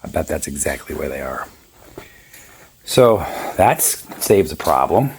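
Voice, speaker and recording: A man in his fifties with a raspy, hoarse voice, chatting casually and rambling through a boat repair vlog. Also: extremely low pitch, very animated, a little slow, a little hiss or hum, poor audio. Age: 50s. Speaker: boat owner vlogger.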